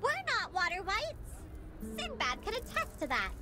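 A woman's voice: very high-pitched, huge pitch swings, unhurried, full character voice. A woman voicing a spirited, proud young adventurer in a game, speaking with indignant feistiness over background music.

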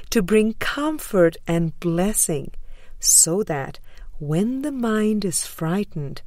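A woman reading a spiritual book aloud in a measured, deliberate way, with frequent pauses between phrases. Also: medium pitch, a little mellow, no echo, clean studio sound. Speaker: audiobook reader.

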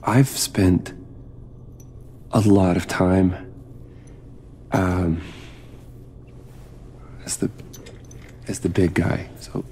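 An actor playing a weary, guilt-ridden middle-aged scientist in a video game, talking in a halting, hesitant mumble. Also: male voice, sits low, level tone, warm tone, a little hiss or hum, heavily acted. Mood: awkward, hesitant. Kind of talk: naturalistic video game cutscene acting.

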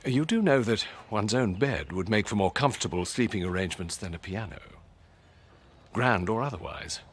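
An actor playing an elderly British butler, formal, polite and dryly understated, in a video game. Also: male voice, on the low side, animated, moderate pace, slightly rough. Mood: dry, concerned. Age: older.

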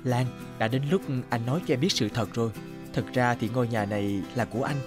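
An earnest young man in a cartoon, voiced by an actor. His medium-pitched voice is anxious and a little hesitant, with music playing softly underneath. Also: a little fast, natural voice. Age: young adult. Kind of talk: cartoon voice acting.

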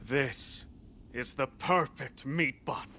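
A man's voice: mid-range voice, slow, sharp tone, a little hiss or hum. An actor playing a childish, food-obsessed young nobleman in a comic game scene, theatrical and overwrought. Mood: comic outrage. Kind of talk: comic game cutscene acting.

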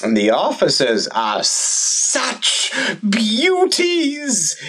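An actor playing a fussy, sentimental elderly male servant in an audio play, halting and stammering, drawing words out in a pleading wail. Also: medium pitch, huge pitch swings, fairly bright tone, faint music behind, full character voice. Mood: wistful, wailing. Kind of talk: theatrical audio play acting.

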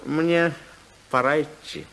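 An actor in a 1950s Soviet radio drama playing a genteel foreign society gentleman, courteous and effusive. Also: fairly high pitch, fairly even intonation, takes it slowly, gravelly, exaggerated voice, rough recording. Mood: polite, effusive. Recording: 1950s radio play recording.

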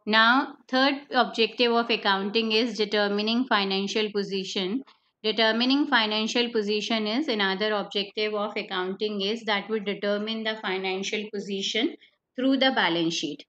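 A woman's voice: medium pitch, fairly even intonation, normal speed, a bit bright. Woman teacher on a dull online call. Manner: explains step by step, repeats key points, asks "right?". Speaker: accountancy teacher. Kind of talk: online class lecture. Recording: slightly dull lo-fi online class audio with faint noise.

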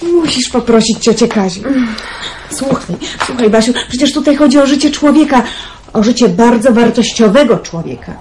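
A woman's voice: fairly high pitch, lively intonation, moderate pace, darkish tone, slight background noise, close to an everyday voice. A woman playing an urgent, persuasive young woman in a radio drama, talking with breathless laughter.

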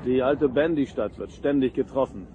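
A man playing a decisive, dutiful starship first officer in an audio drama, brisk and military. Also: medium pitch, muffled recording, lightly acted. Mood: brisk reporting. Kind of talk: German science fiction audio drama acting. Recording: noisy scene with engine-like ambience.

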